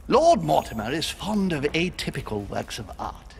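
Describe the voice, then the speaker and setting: Mannered, swooping aristocratic host. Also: male voice, on the high side, sing-song, moderate pace, gravelly, a little hiss or hum. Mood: amused, gracious. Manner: courtly, theatrical, polite. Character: genteel male aristocratic host, courteous and mannered. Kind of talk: video game cutscene acting.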